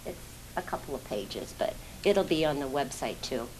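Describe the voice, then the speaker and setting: A woman's voice: very deep voice, lively intonation, normal speed, darkish tone, a little hiss or hum, rough recording. A woman on a housing authority's staff presenting to a public board meeting in a plain, matter-of-fact way.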